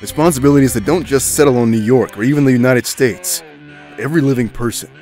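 Man acting, grave male mentor, audio drama, solemn, insistent, mid-pitched, faint music behind. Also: fast talker, natural voice. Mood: stern, insistent. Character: grave, stern male mentor of a chosen hero.

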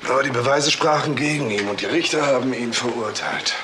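A man's voice: mid-range voice, a little fast, heavy background noise, close to an everyday voice. A man playing a composed, sceptical police investigator in a naturalistic radio crime drama. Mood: businesslike.

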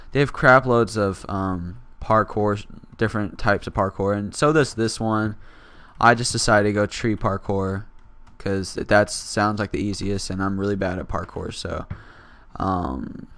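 A teenage boy hosting casual gameplay commentary, raspy from just waking up, rambling and self-deprecating with lots of fillers. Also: fairly low pitch, fairly bright tone, no room sound. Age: teens. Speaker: gaming channel host.

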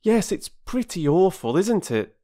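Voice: mid-range voice, very animated, measured pace, dark tone, pitched above the performer's own voice. A narrator voicing an anxious, forthright schoolboy from a children's storybook, protesting in a well-spoken way. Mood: frank. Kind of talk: children's storybook character reading.

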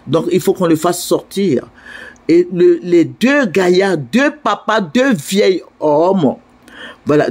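A man's voice: high-pitched, a little mellow, breathy edge. An older man from Côte d'Ivoire with an Ivorian French accent, making an indignant, pleading video address at night from indoors. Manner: indignant, pleading, repetitive appeals. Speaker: online commentator.

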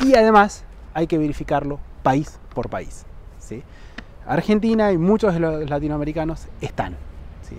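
A man in his thirties with a Rioplatense Spanish accent, vlogging outdoors on a lapel mic. He explains travel rules to the camera and keeps checking in with "¿sí?". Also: on the high side. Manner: checks in with frequent "¿sí?" tags, lively emphasis. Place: outdoors on a lawn by buildings, sunny.

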